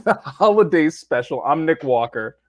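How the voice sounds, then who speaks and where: A man's voice: on the high side, expressive, normal speed, a crowd in the background. Rambling, laughing male podcast host. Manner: rambling, laughing, many restarts. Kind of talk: casual chat.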